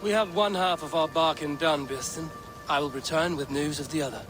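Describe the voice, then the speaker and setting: A woman playing a steadfast, commanding Viking warrior in a historical game, speaking in measured, blunt, formal phrases. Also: low voice, over music, natural voice. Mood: measured, advising. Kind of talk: dramatic period game acting.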